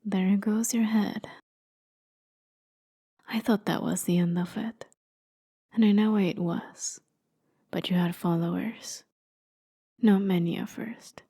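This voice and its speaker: A woman playing a haughty, jaded immortal warrior in an ASMR roleplay, softly spoken and often near a whisper, with sardonic, conversational storytelling. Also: lowish voice. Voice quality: soft, breathy, often dropping to a near whisper. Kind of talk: ASMR roleplay, dramatic monologue.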